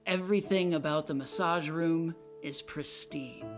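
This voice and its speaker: A woman narrates an audio play, reading out stage directions in a scripted style. Her voice sits low for a woman, and faint music plays along. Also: measured pace.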